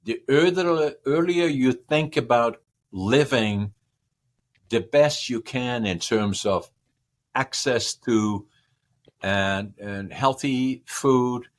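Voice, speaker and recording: A man, a podcast guest giving long, rambling answers that repeat words and run through lists. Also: mid-range voice. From Northern Netherlands. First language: Dutch. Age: 80s. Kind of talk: casual interview answers.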